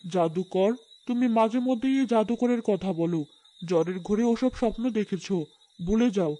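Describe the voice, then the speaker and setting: Woman voicing a firm, lower-toned village elder sister. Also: moderate pace, warm tone, close to an everyday voice. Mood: stern, practical. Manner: firm, practical. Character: stern but caring, hard-working poor village elder sister. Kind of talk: cartoon fairy-tale dialogue.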